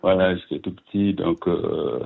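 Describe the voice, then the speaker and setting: Husky deep-voiced man on a phone line. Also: fairly even intonation, unhurried. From Burkina Faso. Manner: hesitant, many fillers, soft laughs. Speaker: guest. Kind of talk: phone interview.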